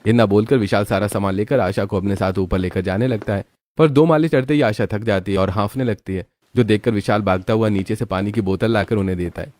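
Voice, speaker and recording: A man's voice: fairly low pitch, a little fast, a little mellow, no room sound. A man narrating a scripted moral story as a storyteller.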